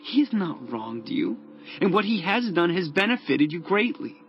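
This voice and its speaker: An actor playing a loyal young prince in a dramatized Bible audiobook, speaking with sincere, urgent earnestness. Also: male voice, high voice, sing-song, normal speed, highs cut off, soft background music. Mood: earnest pleading.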